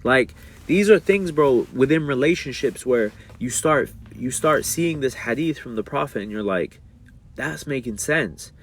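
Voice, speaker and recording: A young man talking casually to his viewers about marriage and faith, reading a passage aloud and breaking into slangy, animated asides. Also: mid-range voice, unhurried. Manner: animated, rises sharply when worked up. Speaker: streamer talking about religion and relationships. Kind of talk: casual monologue.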